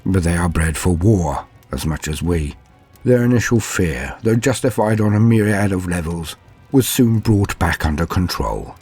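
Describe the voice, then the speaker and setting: A man playing a frightened but dutiful knight in an audio drama, narrating gravely in archaic wording with dramatic pauses. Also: deep voice, animated, darkish tone, soft background music, heavily acted. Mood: horrified awe. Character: frightened but dutiful male knight recounting doomed battle.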